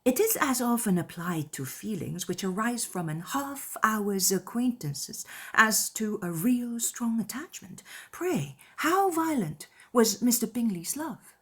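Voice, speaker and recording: A woman voicing a kindly, sensible middle-aged aunt, breathy and measured, in a dramatized classic novel. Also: on the high side, sing-song, pitched above the performer's own voice, heavily acted. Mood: skeptical, amused.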